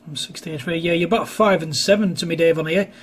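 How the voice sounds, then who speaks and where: A man's voice: on the high side, fast, husky. A man chatting casually as an amateur radio operator, recorded indoors at his radio desk. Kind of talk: casual two-way radio chat.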